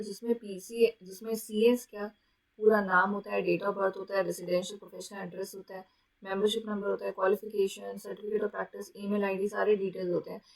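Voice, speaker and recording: An Indian woman gives an exam-prep lecture in a medium-pitched voice. She has a sore throat and sounds like a teacher explaining point by point. She mixes languages and keeps adding short check-in tags for her students. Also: creaky, poor audio. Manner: mixes Hindi and English, frequent check-in tags.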